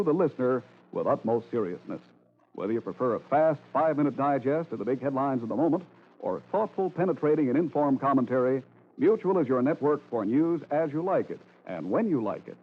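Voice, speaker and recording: Male American mid-century radio announcer, formal and emphatic, lively intonation, tinny phone-like sound. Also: mid-range voice. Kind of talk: 1940s-50s radio announcing, scripted.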